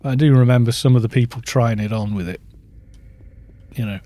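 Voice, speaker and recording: A man's voice: medium pitch, a little fast, dark tone, dry recording. A British man in his fifties chatting in a rambling way while streaming a game and reading out viewer chat. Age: 50s. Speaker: gaming streamer.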